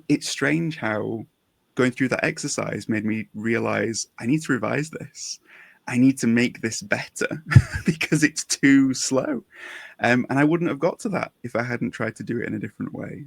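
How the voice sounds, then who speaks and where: A male podcast host chats casually and with enthusiasm, urging listeners on. His voice has some gravelly creak to it. It is captured close and dry, with very little room sound. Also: mid-range voice, a little slow, dry recording. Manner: encouraging, enthusiastic.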